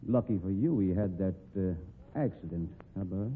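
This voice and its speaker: An actor playing a calm, dry-witted rodeo manager who investigates a murder. He speaks in a laconic drawl, in 1940s radio acting style. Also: sits low, moderate pace, slight background noise, lightly acted. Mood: probing. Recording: narrow-band old radio broadcast.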